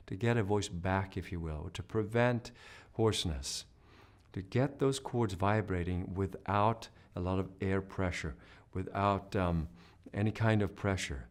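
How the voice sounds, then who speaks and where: Man teaching singing with a lowish voice. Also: normal speed, darkish tone. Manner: demonstrates hoarse and humming sounds mid-explanation; explains patiently, often checking with "right?". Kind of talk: instructional video lesson.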